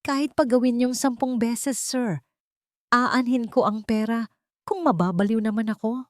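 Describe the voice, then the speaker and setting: A woman's voice: steady tone, normal speed, a little mellow, dry recording, studio quality, natural voice. A woman voicing a frightened, hysterical nanny who pleads to quit, in an audiobook drama. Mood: pleading, fed up. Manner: shrill, pleading, near breaking.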